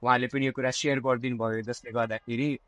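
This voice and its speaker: A young man in his twenties from Nepal answers over a video call, rushing through his words. He stumbles over many fillers while mixing Nepali and English. The sound is close and dry. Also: medium pitch, flat delivery, a bit bright, no echo. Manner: hesitant, many "uh" and "चाहिँ" fillers. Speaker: guest, program coordinator. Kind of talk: casual interview answers, mostly in Nepali.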